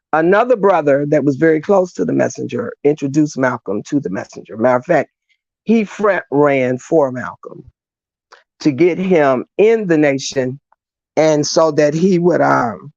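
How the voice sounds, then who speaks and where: Gravelly-voiced woman guest, muffled line. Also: sits low, expressive, unhurried, a little mellow, no room sound. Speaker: guest speaker. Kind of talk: conversational history telling.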